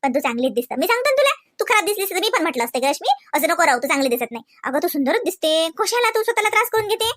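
A woman's voice: extremely high pitch, big pitch swings, rapid-fire, few pauses, fairly bright tone, a little hiss or hum, heavily acted. A woman voicing a reassuring, protective, fussing sister-in-law in a comedy cartoon, insistent and chatty, with a Varhadi Marathi accent. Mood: coaxing.

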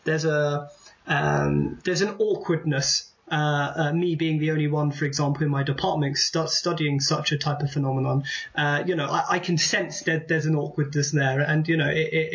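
Higher-voiced man hosting a podcast. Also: a bit bright. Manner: rambling, repeats words while framing questions. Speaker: podcast host, interviewer. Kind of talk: conversational interview questions. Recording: dull lo-fi podcast audio.

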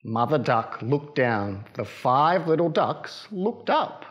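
Animated male storytime reader, lively pitch. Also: slow. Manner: playful, expressive, addressing children. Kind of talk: animated children's picture-book reading. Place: small children's library corner, indoors.

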